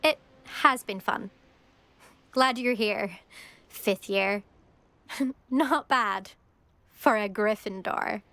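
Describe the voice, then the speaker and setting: A woman's voice: mid-range voice, very slow, long pauses, fairly bright tone. A woman playing a competitive, self-assured teenage student witch in a video game. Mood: wry, friendly. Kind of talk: video game character dialogue.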